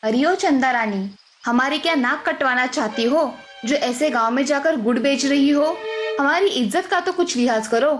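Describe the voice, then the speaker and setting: A woman voicing a stern, nagging older mother-in-law from a village, scolding and suspicious, in an animated story. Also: sits high, normal speed, soft background music, lightly acted. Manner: scolding, sharp-tongued, suspicious. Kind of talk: Hindi animated moral story dubbing.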